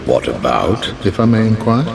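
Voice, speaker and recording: An actor playing a kindly, deferential old British butler with a gravelly voice and a courtly, indulgent way of talking. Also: male voice, on the low side, big pitch swings, moderate pace, distant mic, crowd noise, heavily acted. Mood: kindly, amused. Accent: British English.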